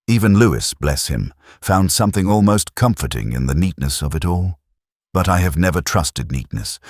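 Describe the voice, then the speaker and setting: A man playing a reflective, melancholy middle-aged detective, narrating his case in measured, solemn literary prose. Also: a bass voice, dry recording, studio quality, exaggerated voice. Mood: wistful, resigned. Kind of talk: literary first-person scripted narration.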